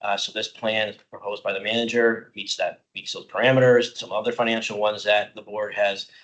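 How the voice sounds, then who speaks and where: Man, middle-aged, slightly hoarse, lowish voice, public meeting presenter, informal explaining, frequent fillers. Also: level tone, moderate pace, fairly bright tone, low-quality recording. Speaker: county parks department staff presenter.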